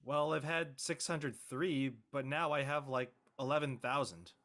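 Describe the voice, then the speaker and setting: A man playing an exasperated, reluctant high-school boy in an amateur script reading, with a whiny, protesting manner. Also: a little slow, fairly bright tone, natural voice. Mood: fed up. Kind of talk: amateur audio drama table read.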